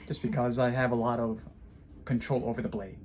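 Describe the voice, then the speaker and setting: A calm, focused podiatrist from Puerto Rico, quietly explaining as he treats a patient in his clinic. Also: mid-range voice, fairly quick. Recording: noisy clinic room.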